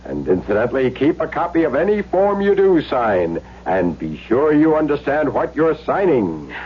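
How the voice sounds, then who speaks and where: An actor playing a polite, reassuring consumer adviser in a vintage radio announcement, giving courteous instruction. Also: male voice, mid-range voice, very animated, normal speed, close to an everyday voice. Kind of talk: vintage radio public-service ad. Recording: dull, hissy old radio recording.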